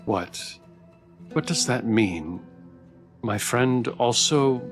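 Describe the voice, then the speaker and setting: A man's voice: medium pitch, expressive, extremely slow, faint music behind. A man voicing a confused, wronged spirit who wants answers, reading visual novel dialogue aloud in earnest. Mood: frustrated, demanding. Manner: questioning, earnest.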